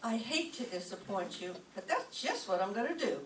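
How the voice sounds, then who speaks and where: A woman's voice: fairly high pitch, sing-song, normal speed. Lady doing dry sarcastic teacher, old radio comedy, swoopy voice, distant echoey mic. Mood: dry, sardonic. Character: wry, sardonic female schoolteacher. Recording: roomy, distant microphone, faint noise.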